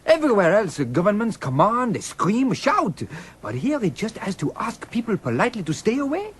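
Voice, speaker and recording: A man's voice: high voice, huge pitch swings, a little fast. Genteel, amused foreign aristocrat male actor for audio drama; extremely varied intonation, faint noise behind. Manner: polite, amused.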